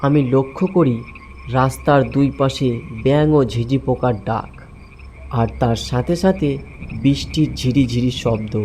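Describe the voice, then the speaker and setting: A man's voice: medium pitch, normal speed, darkish tone, slight background noise. A man narrating a first-person horror story in a dramatic, suspenseful way.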